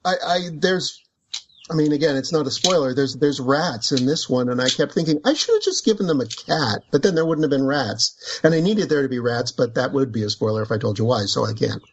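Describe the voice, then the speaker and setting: A man's voice: medium pitch, animated, a little fast, slightly rough. A man, an author, chatting casually about his book, wandering off on tangents and laughing as he talks. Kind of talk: casual book club chat. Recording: dull lo-fi recording.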